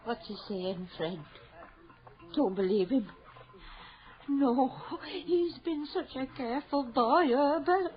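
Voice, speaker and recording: High, quavering grieving mother. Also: slow, long pauses. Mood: tearful pleading. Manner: quavering, pleading, melodramatic delivery. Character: grieving, desperate mother, frantic with wishful hope. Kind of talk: old-time radio horror drama acting. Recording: dull lo-fi radio drama recording.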